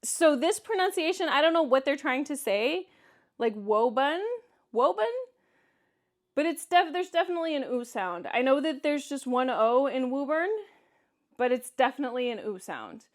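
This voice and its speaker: A woman vlogger with a distinctly high voice and a Kansas accent talks casually to her viewers. She is candid and conversational, leaning on words for emphasis as she gives her opinions. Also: animated, normal speed. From Kansas, United States. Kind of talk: casual solo vlog commentary, answering viewer comments.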